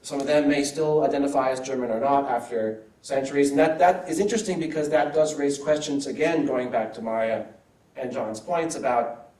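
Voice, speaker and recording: A male academic answers audience questions at a measured, thoughtful pace, often adding a checking "right?". His voice is mellow and a little dark. It sounds distant from the mic, in a reverberant room. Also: medium pitch. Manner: thoughtful, frequent "right?" tags.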